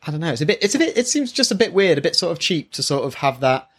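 A man's voice: fairly high pitch, big pitch swings, quick pace, breathy edge. A man giving his casual impressions as a co-host, hesitant and searching for words, recorded on a built-in microphone. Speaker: podcast co-host, first-time manga reader. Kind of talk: casual review chat.

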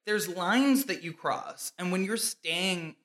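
A man's voice: high voice, slow, a bit bright. A man co-hosting a casual chat, telling an anecdote animatedly, laughing often and using "like" a lot. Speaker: podcast co-host.

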